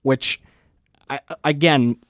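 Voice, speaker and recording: A man co-hosting a music review show in a college radio studio, speaking at a middle pitch for a man. He rambles, restarts his sentences and swears offhandedly. The sound is close and dry, with no room echo. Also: fairly even intonation, takes it slowly, a bit bright. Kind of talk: casual music review chat.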